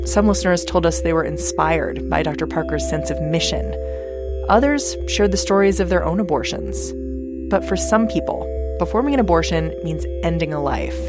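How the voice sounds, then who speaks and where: Low-voiced woman hosting a radio show. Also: normal speed, loud music behind the voice. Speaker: radio host and interviewer. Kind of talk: scripted radio narration and interview.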